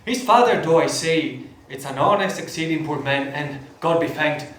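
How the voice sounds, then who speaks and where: A woman's voice: deep voice, moderate pace, slight background noise, natural voice. A woman voicing a poor, humble old father on stage, speaking deferentially in an echoey hall from far off. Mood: pleading. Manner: pleading, deferential.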